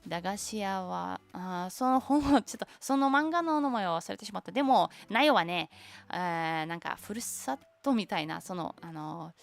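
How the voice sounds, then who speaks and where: A young woman whose first language is Tatar, chatting casually on a stream, halting and searching for words, with many fillers. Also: medium pitch, expressive, creaky. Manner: switches between Japanese and English. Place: lives in Japan.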